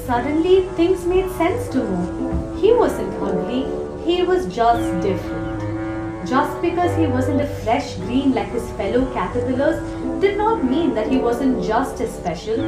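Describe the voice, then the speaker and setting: A woman reading a scripted children's story as its narrator. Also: a little high. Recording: echoey room with prominent music. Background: prominent music.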